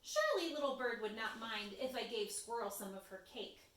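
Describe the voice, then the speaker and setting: A woman's voice: fairly high pitch, lively intonation, normal speed, fairly bright tone. A woman voicing a kind, earnest, polite little male mouse in a read-aloud storybook. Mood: kindly reasoning. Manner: sweet, earnest, exclaiming. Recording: roomy echo, distant microphone.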